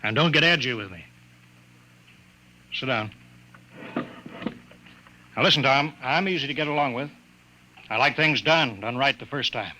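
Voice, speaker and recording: A man playing a gruff, domineering senior colonial boss in a radio drama, curt, blustering and contemptuous. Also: mid-range voice, measured pace, long pauses, bright, forceful tone, a little hiss or hum, poor audio. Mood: threatening.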